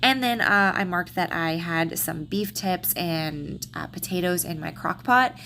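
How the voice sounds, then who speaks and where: A woman's voice: on the low side, animated, a little slow, vocal fry, sharp tone, slight background noise. A slightly congested woman with a Southern accent, chatting casually through a planner voiceover with lots of ums and restarts. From Texas, USA. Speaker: planner vlogger.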